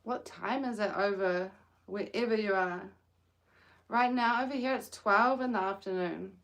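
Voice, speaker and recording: A woman's voice: medium pitch, normal speed. A young woman with a New Zealand accent, chatting hesitantly through a crochet livestream while sitting outdoors in the sun. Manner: soft-spoken and hesitant, with frequent "um"s. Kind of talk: casual livestream chat.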